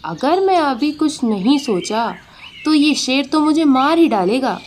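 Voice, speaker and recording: A woman voicing a sly, quick-witted male fox in a children's animated story, with a wily, persuasive manner. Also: high voice, a little hiss or hum. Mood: worried scheming.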